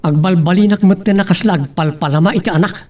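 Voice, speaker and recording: A man's voice: high voice, fast talker, a little mellow, distant mic, close to an everyday voice. An earnest adult son played by a man with a Filipino accent, heard slightly away from the microphone. He comes across as hesitant and wounded, in the style of a homemade radio love drama. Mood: troubled. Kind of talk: Filipino audio drama acting.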